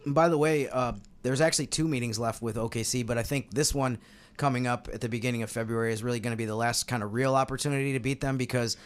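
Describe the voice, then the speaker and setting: An American man talks through basketball as a podcast co-host. His voice sits at a middling pitch, and his style is casual and conversational, like an analyst chatting with colleagues. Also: fairly even intonation, fast, clear, resonant tone.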